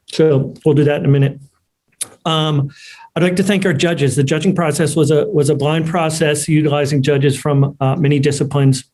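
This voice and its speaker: A man hosting an online ceremony in an unscripted, halting way. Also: fairly high pitch, a little mellow. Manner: halting, hesitant delivery. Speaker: host of an online award ceremony. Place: remote video call.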